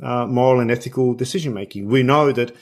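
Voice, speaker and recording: A man from Bosnia hosting an interview podcast, talking conversationally and repeating words as he forms his thoughts. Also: medium pitch. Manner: halting, repeats words while forming thoughts. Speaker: podcast host.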